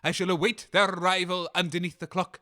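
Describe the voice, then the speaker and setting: A man's voice: high voice, flat delivery, brisk, pushed and bright. A British man playing a conceited, mischievous radio co-host with a theatrical, pompous delivery in a comedy audio drama. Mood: gleefully boastful.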